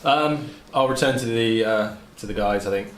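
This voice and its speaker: A man's voice: medium pitch, normal speed, a bit bright, slight background noise. A man talking casually as a player in a tabletop game. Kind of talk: casual chat. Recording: echoey room, crowd-like background.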